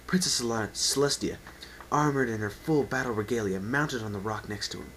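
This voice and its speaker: A man's voice: mid-range voice, fairly quick, fairly bright tone, a little hiss or hum, rough recording. A man narrating an unedited dramatic story reading with theatrical, emphatic delivery. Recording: amateur home recording with faint whine.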